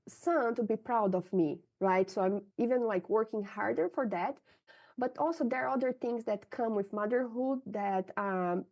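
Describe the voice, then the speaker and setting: A woman with a Brazilian Portuguese accent speaks in a fairly high, mellow voice. Her first language is Portuguese, and she answers interview questions candidly, often checking in with a quick "right?". Her delivery is open and conversational. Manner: frank, tags sentences with "right?". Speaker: scientist interviewee.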